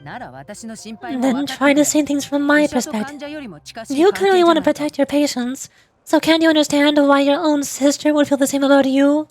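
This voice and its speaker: A woman's voice: sits high, normal speed, darkish tone, faint music behind, lightly acted. Woman as a firm, blunt older sister. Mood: insistent.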